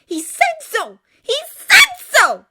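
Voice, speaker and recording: A woman's voice: very high voice, moderate pace, very noisy, pitched above the performer's own voice. A woman voicing an emotional, melodramatic young woman from a storybook, heartbroken and indignant. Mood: furious, wounded.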